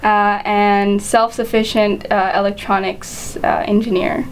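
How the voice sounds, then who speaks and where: A woman in her late teens, a polite high school student giving informal answers in an interview. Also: level tone, a little slow, clear, resonant tone, rough recording. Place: small school office, indoors. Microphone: camera microphone in the room. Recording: slight background noise in office.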